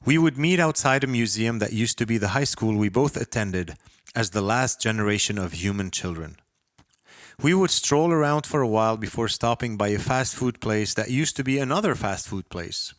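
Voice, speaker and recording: A man from Lebanon reading his own short story as a first-person narrator, with expressive, dramatic delivery. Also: on the low side, normal speed, no echo, clean studio sound.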